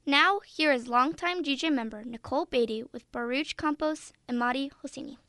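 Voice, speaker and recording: High-voiced woman radio host. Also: fairly quick, pushed and bright, dry recording, clean studio sound. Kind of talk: scripted radio announcement.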